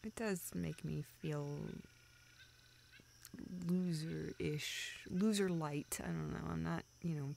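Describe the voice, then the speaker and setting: A young woman playing a self-deprecating, vulnerable friend in an intimate audio roleplay, speaking in halting, self-interrupting dialogue. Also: low voice, vocal fry, darkish tone, slight background noise, full character voice. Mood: subdued, dejected. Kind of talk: intimate, naturalistic one-sided dialogue.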